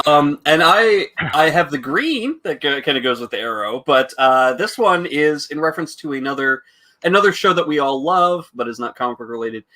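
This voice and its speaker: A playful, giggly man co-hosting a podcast, hesitating with little ums between thoughts. His voice has a bright, forward tone. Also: on the high side, expressive, moderate pace. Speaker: podcast co-host. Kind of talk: casual chat.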